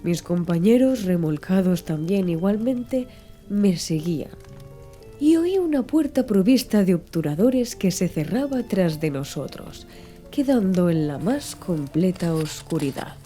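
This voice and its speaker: Dark, mellow woman narrating an audiobook. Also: fairly low pitch, sing-song, a little slow. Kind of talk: scripted literary audiobook narration. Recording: music under the voice. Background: music.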